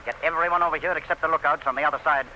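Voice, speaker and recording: An actor playing a defiant, domineering plantation owner who barks orders and boasts in old-time radio drama style. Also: male voice, a little high, steady tone, rapid-fire, pushed and bright, dull audio, a little hiss or hum. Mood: confident, brisk. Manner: commanding, boastful, barking orders.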